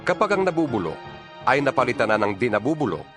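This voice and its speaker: A man's voice: mid-range voice, very animated, quick pace, natural voice. A man with a Filipino accent playing an apostle exhorting fellow believers in an emphatic, oratorical dramatized Bible reading. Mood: solemn, emphatic. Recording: music bed behind the voice.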